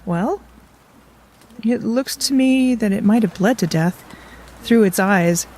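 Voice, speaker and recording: A woman's voice: medium pitch, slow, a little mellow, rough recording. A woman playing a guarded, dry-witted companion to a time traveller in an audio drama, reserved and understated. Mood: uneasy.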